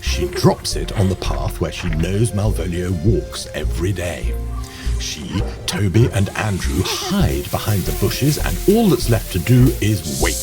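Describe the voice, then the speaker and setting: Audio drama narrator, knowing and playful, really animated storytelling, music underneath. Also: male voice, sits low, measured pace. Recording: music bed behind the voice.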